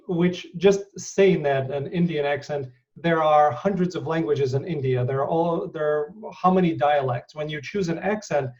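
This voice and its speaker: Man, interview host, halting, many fillers, far from mic, muffled sound. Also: on the high side, darkish tone. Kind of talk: conversational interview.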